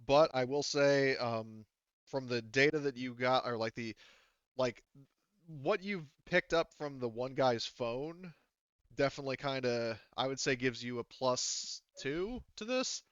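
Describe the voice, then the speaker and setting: A man's voice: medium pitch, measured pace, clear, resonant tone, no echo, dull audio. A man running a tabletop roleplaying game as game master, casually explaining rolls and rules with some swearing.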